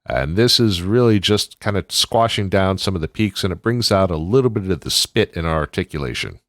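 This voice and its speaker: A man's voice: low-pitched, expressive, brisk, fairly bright tone, no echo. A man hosting an audio tutorial, explaining things casually and step by step into a close microphone.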